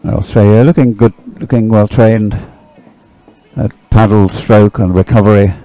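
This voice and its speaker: A man's voice: fairly low pitch, fairly even intonation, a little slow, dark tone, faint music behind, low-quality recording. A man doing live sports commentary in a chatty, hesitant, rambling way.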